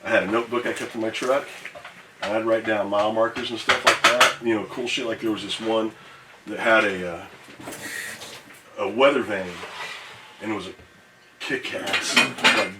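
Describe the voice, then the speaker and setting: Laid-back low-voiced man, echoey breakfast room. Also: fairly even intonation, normal speed, long pauses, heavy background noise. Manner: laid-back, swears casually, laughs often. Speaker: former long-haul truck driver. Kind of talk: casual breakfast chat. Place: room during a breakfast, dishes clattering. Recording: echoey room, far from the mic, clatter of dishes.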